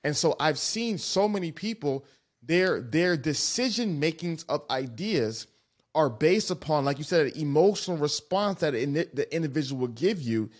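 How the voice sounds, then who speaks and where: Earnest, reflective podcast host. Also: male voice, fairly high pitch, expressive, normal speed. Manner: earnest, reflective, long-winded. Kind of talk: casual podcast conversation.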